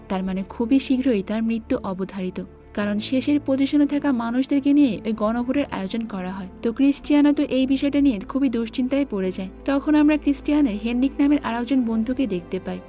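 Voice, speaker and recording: Female recap narrator, mellow and slightly high, explanatory scripted storytelling over background music.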